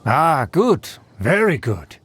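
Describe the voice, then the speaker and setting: A man's voice: a little high, very animated, slow pace, fairly bright tone, slight background noise, heavily acted. A man playing a snobbish male aristocrat in a video game, speaking in a haughty, formal, old-fashioned way. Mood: condescending approval. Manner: haughty, formal, archaic diction. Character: pompous, snobbish male aristocrat of a fallen noble clan. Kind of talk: theatrical video game character acting.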